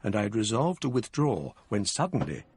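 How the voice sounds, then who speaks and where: An actor narrating a radio drama in a measured, formal storytelling manner. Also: male voice, lowish voice, very animated, a little mellow, husky, dry recording, exaggerated voice. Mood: reflective. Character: grown man recalling past events.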